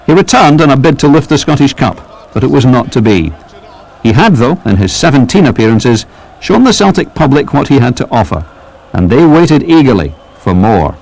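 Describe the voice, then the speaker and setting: A man's voice: medium pitch, sing-song, normal speed, creaky, darkish tone, poor audio. A man narrating a football documentary in a scripted storytelling delivery.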